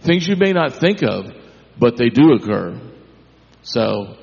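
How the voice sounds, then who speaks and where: A man with a deep voice telling a church testimony in a folksy way that builds into preacher-like emphasis. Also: big pitch swings, slow, gravelly, a little mellow. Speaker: congregation member. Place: church sanctuary. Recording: echoey church hall, dull sound.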